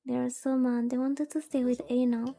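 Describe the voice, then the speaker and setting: A woman's voice: high-pitched, flat delivery, normal speed, gravelly, warm tone, faint music behind. A woman VTuber livestreaming a visual novel, chatting casually, rambling and reacting aloud as she reads along. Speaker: VTuber streamer.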